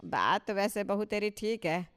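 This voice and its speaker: A woman's voice: mid-range voice, normal speed, fairly bright tone, smooth, close to an everyday voice. A woman playing a proud, touchy older mother-in-law in a Hindi cartoon story, emphatic and boastful with a scolding edge. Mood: determined.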